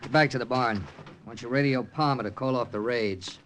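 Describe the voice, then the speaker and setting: An actor playing a tough, weary American army captain with an American accent, narrating and speaking in clipped 1940s radio style. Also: male voice, mid-range voice, brisk, fairly bright tone, a little hiss or hum, close to an everyday voice. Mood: brusque, commanding. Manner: hard-boiled, clipped. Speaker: narrator and lead character. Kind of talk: 1940s radio acting with first-person narration.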